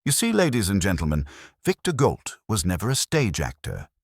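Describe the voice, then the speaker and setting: Guy reading as a really low husky detective, formal and commanding, explains the case step by step, dry close sound. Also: huge pitch swings, no echo, heavily acted. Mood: measured, confident. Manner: measured, formal, deliberate. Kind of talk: dramatised audiobook detective dialogue.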